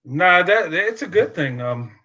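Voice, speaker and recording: A man's voice: sits high, expressive, moderate pace, pushed and bright. A man hosting a live stream in casual chat, reassuring and laughing often, with many "uh" fillers.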